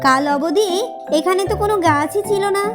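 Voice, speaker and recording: Lady doing a cartoon fox mom, high voice, caring n motherly, music behind. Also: fairly quick. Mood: amazed. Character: caring, poor fox mother soothing her daughter. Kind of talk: children's cartoon dubbing.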